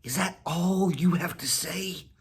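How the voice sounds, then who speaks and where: A man voicing Whiskers, a small boastful male cat, in a high whiny voice for a storybook reading. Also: takes it slowly, husky, exaggerated voice.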